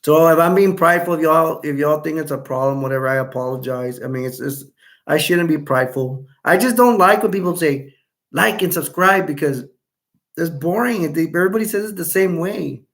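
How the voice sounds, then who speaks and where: Rambling man with a gravelly voice. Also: on the high side, fairly quick, fairly bright tone. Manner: rambling, self-correcting, chatty. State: voice going hoarse. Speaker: live stream host reading chat. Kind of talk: casual live chat.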